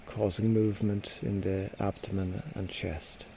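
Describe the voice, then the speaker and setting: A man leading a guided body scan meditation, giving gentle, unhurried instructions with frequent pauses. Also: on the low side, level tone, gravelly, a little hiss or hum. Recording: faint outdoor nature sounds behind. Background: birds and nature sounds.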